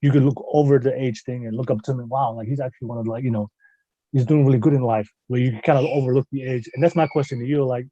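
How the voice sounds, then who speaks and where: Fast-talking man hosting a podcast. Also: medium pitch, steady tone, dark tone, no echo, dull audio. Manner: rapid, restarts and hedges a lot. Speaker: podcast host. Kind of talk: casual chat.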